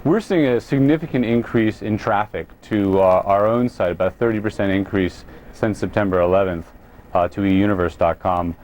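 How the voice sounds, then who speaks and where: Lowish-voiced American man, halting interview guest. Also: darkish tone, a little hiss or hum. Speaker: company president, interview guest. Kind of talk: live news interview.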